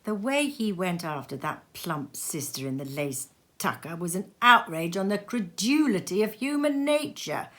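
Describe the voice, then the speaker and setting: A woman narrating a storybook expressively, with dramatic, deliberate emphasis. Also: lowish voice, big pitch swings, fairly bright tone. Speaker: storybook reader.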